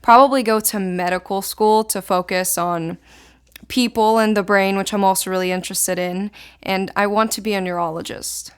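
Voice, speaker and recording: A teenage young woman from Israel, a native Hebrew speaker, answering informal interview questions as a high school student. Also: steady tone, moderate pace, clear, resonant tone. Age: teens.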